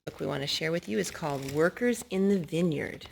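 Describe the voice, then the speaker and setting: A woman reading picture books aloud to young children in an animated way, coaxing them with questions and leaning on key words. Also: low-pitched, fairly quick, vocal fry, a little hiss or hum.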